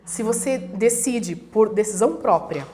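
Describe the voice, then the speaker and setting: Brazilian woman explaining at an even pitch. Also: unhurried, a crowd in the background. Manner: explanatory, acts out examples in quoted voices. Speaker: guest, international relations professor. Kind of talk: conversational explaining.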